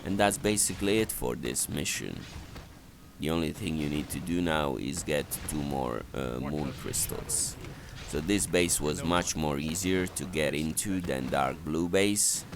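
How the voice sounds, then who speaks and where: Very deep, gravelly man giving game instructions. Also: unhurried. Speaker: video game walkthrough commentator. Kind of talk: instructional strategy game commentary. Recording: clearly noisy voice recording.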